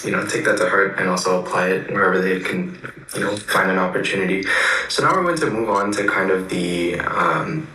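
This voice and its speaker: A man's voice: sits low, fairly quick, bright, forceful tone. A man moderating a panel and reading audience questions in a casual way. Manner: casual, filler-heavy. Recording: echoey, distant.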